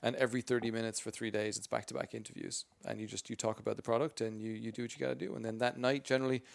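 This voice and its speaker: Man, booming voice, gravelly, energetic, rambling interview answers. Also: racing. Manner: energetic, rambling, many restarts. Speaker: game franchise spokesperson.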